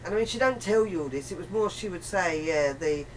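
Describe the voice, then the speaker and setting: Englishwoman, low register, colloquial and emphatic rambler, recorded at a distance. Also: animated, a little fast. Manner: rambling, emphatic, colloquial, often acts out reported dialogue. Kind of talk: casual chat. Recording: distant mic, faint room noise.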